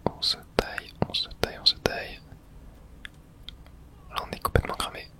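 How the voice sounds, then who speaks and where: A woman's voice: moderate pace, sharp tone. Female ASMR streamer, whispered game commentary with casual slang and spoken reactions to play.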